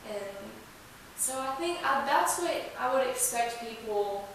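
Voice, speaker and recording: A woman with a higher voice talks casually as an interview guest, stressing points with enthusiasm. The room is strongly reverberant and she is picked up from a distance, with faint hiss. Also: animated, measured pace, fairly bright tone. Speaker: interview guest, museum representative. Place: indoors in an old museum building.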